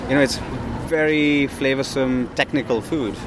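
A man's voice: medium pitch, moderate pace. Chatty man, street traffic behind. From India. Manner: relaxed, chatty, many fillers and restarts. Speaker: food startup co-founder. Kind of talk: casual walking interview. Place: outdoors on a city street. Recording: outdoor street recording with traffic. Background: traffic noise.

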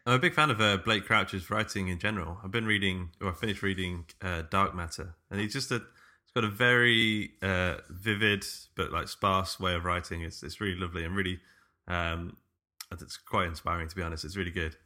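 A man's voice: sits low, fairly even intonation, fairly quick, bright, forceful tone. A man hosting a podcast in casual chat, feeling his way through sentences with hesitant enthusiasm. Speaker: podcast host.

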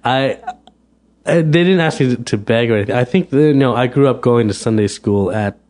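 A man's voice: mid-range voice, moderate pace, darkish tone. A man, a restaurant owner chatting casually as a guest, often restarting and repeating words. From United States. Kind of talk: casual interview chat.

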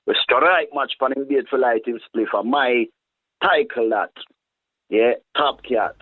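A man from England with a Jamaican patois accent mixed with London speech, a reggae DJ chatting as an interview guest in a relaxed, halting way. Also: medium pitch. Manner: relaxed, halting, restarts phrases. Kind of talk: casual interview chat. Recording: phone-like narrow sound.

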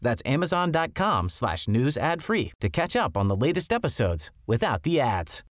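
A man's voice: mid-range voice, sing-song, moderate pace. A man reading a scripted podcast advert as an announcer.

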